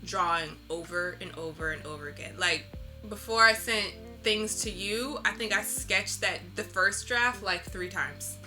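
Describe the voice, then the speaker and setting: Woman, bright forceful voice, mid pitch, informal and reflective artist interviewee, music underneath. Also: unhurried. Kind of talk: casual interview chat. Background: instrumental lo-fi music.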